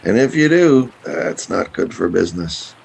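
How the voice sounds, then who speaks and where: A man playing a practical, businesslike sea captain with a gravelly voice in a radio play. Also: low voice, very animated, normal speed, darkish tone, heavily acted. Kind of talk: radio play acting with first-person narration. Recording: faint background noise.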